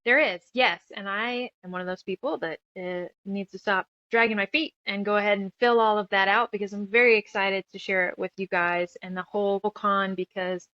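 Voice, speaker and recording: Female interview guest, chatty and self-effacing, mid-pitched, lo-fi dry sound. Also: steady tone, moderate pace, hardly any pauses, fairly bright tone, no echo. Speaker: guest author and musician. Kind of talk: casual interview chat.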